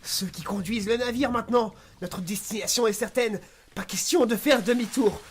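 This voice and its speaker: An actor playing a sinister, half-mad sailor who gleefully foretells doom in a slow, ominous French audio drama delivery. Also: male voice, high-pitched, breathy edge. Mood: eerily gleeful.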